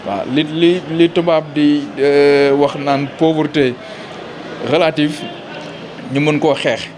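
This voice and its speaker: A man with a Senegalese accent explains things in an interview as a local official, pausing mid-phrase and repeating words as he goes. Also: on the high side, little pitch movement, background noise.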